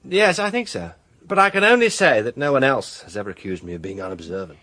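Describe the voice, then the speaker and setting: A confident, brisk barrister in a radio drama. His delivery is highly animated and urbane, and he presses his point with easy assertiveness at a middling pitch. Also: no room sound. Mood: impatient, dry. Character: confident, persistent male barrister, brisk and flirtatious.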